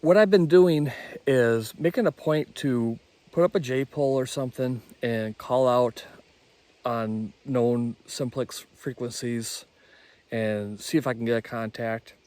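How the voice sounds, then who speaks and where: A man's voice: mid-range voice, unhurried, a little mellow. A man speaking casually as an amateur radio operator hosting a hobby video. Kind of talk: casual hobby vlog talk and radio calling.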